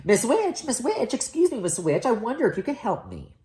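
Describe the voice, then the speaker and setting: A woman's voice: on the high side, huge pitch swings, a little fast, warm tone, pitched above the performer's own voice. A woman voicing a hapless young storybook prince, earnest, polite and flustered, often pleading. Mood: eager pleading. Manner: polite, flustered, repeats himself.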